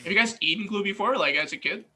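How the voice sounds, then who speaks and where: A man in his twenties, a guest on a casual chat show, talking in a soft-spoken, laid-back way. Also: high-pitched, quick pace, husky. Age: 20s. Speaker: podcast guest.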